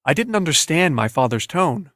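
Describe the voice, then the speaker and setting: A man's voice: on the high side, very animated, normal speed, a bit bright, no room sound. Male narrator for literary first-person audiobook fiction, recorded dry and close.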